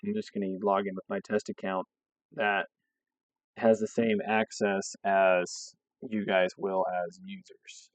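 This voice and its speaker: A hoarse man from a fire district staff, explaining a scheduling app step by step and checking in with "okay" as he goes. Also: lowish voice, level tone, unhurried, dry recording. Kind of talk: screen-recorded software walkthrough.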